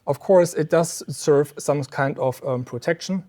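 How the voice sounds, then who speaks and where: A man's voice: on the high side, measured pace, mellow. Man explaining technical gear in an interview. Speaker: loudspeaker company representative explaining a product. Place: exhibit room at an audio trade show.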